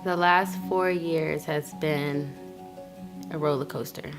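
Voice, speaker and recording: A woman in her mid-twenties shares her experience in a low voice. She is informal and halting, circling back over phrases, with music clearly audible behind her. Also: steady tone. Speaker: client of a charity, young mother. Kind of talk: personal testimony in an interview. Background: instrumental music.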